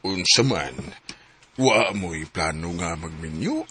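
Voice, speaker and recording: An actor playing a caring older father who gently urges his daughter and her partner to talk about marriage in a radio drama. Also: deep voice, animated, slow pace, background noise. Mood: advising. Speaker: parent giving advice.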